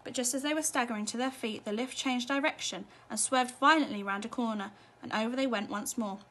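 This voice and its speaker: A woman's voice: on the high side, a little fast, fairly bright tone. A woman narrating a children's storybook expressively, as a teacher reading at bedtime.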